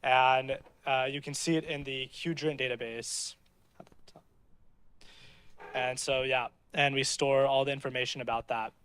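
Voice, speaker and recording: A man walking through his team's software demo, casually narrating what is on screen. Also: medium pitch, little pitch movement, measured pace, long pauses, clear, resonant tone. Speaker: hackathon presenter.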